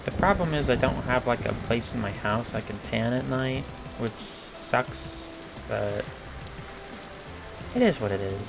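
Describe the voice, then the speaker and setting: A man with a hoarse, gravelly voice talks slowly and unhurriedly, thinking aloud as he plays. He hesitates often and fills gaps with ums. Game music plays clearly underneath him. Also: medium pitch. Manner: slow, hesitant thinking aloud with many fillers. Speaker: gameplay video creator. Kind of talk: casual commentary over gameplay. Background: game music and rain sounds.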